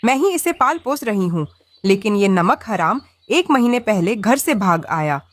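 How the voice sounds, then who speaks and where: A woman's voice: mid-range voice, very animated, unhurried, no room sound. A woman voicing a greedy, bullying crow aunt in a children's cartoon, scolding and demanding. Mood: scolding, threatening. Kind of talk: animated children's story acting.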